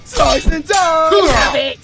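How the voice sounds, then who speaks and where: A man's voice: very high-pitched, expressive, slow pace, vocal fry, shouting, over loud music, over a noisy crowd, full character voice. A British man voicing a cocky, crude warlock supervillain in an audio roleplay, full of mocking, sweary, theatrical banter. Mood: battle-excited. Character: cocky, crude, taunting male warlock supervillain, gleefully playful.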